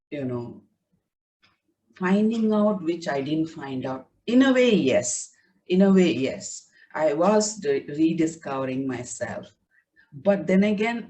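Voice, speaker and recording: A woman's voice: low voice, a little mellow, distant mic, low-quality recording. A woman whose first language is Tamil, a writer being interviewed, talking reflectively with many fillers and restarts over a video call.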